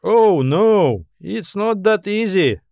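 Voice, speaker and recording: A man acts out an elderly sci-fi audiobook scientist, pitched noticeably high and recorded dry. He explains patiently and philosophically, with polite composure and a wry streak. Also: animated, slow, vocal fry, no echo, heavily acted. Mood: wry, explanatory. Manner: thoughtful, philosophizing, gently explanatory. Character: old alien scientist, calm, courteous, wryly amused. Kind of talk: audiobook character dialogue.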